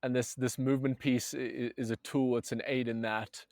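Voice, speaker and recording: A man's voice: mid-range voice, flat delivery, moderate pace, a little mellow, no room sound, clean studio sound. A man from Zambia, a movement coach, talking earnestly in a casual podcast conversation, with many restarts.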